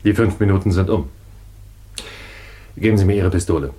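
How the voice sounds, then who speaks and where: An actor playing a firm, unhurried man of authority in a radio crime drama, terse and commanding. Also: low voice, warm tone, close to an everyday voice.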